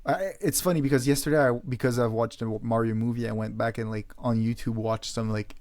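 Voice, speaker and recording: A young man's medium-pitched voice, recorded dry with no room sound. He chats casually as a podcast co-host, full of slang and swearing, leaning on emphatic hyperbole. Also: fairly even intonation, a little fast, a little mellow, dry recording.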